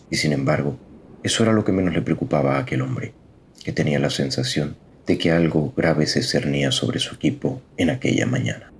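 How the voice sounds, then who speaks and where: Casting: male narrator for scripted suspense fiction, very deep bass, faint rumbly noise behind. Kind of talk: scripted dramatic story narration.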